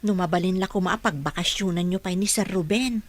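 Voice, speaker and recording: A woman's voice: fairly low pitch, brisk, natural voice. A woman with an Ilocano accent playing a deferential, caring household aide in an audio drama. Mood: concerned, respectful. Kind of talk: radio-style Filipino drama dialogue.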